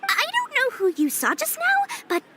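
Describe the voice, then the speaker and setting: A woman's voice: very high-pitched, wildly swooping pitch, moderate pace, background noise, full character voice. A woman voicing a small, sly girl merchant in a video game, boastful, salesy and theatrical. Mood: sly, secretive. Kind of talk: video game dialogue acting.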